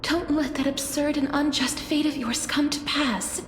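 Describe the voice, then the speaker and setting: An actress playing a gentle, sorrowful demigod of death in a game, speaking softly and formally. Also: female voice, high-pitched, fairly even intonation, normal speed, natural voice. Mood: pleading sorrow. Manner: soft, formal, mournful. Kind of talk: dramatic game voice acting. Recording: echoing voice over music.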